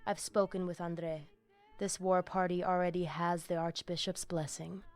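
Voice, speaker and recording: An actress voicing a cunning, imperious vampire plotter in a video game, solemn and grandiose. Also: female voice, on the low side, flat delivery, moderate pace, a little mellow, no echo, lightly acted. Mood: scheming. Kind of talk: dramatic video game voice acting.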